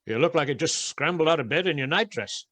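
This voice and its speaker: A man playing a gruff, money-minded village blacksmith with blunt rustic speech in a radio play. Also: fairly high pitch, lively intonation, a little fast, fairly bright tone, dry recording. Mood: gruff, wry.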